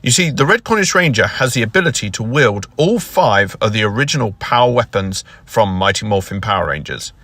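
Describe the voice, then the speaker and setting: Man, video host, bright forceful voice, very dry close sound, scripted intro delivery. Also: lowish voice, animated, a little fast, few pauses, no room sound.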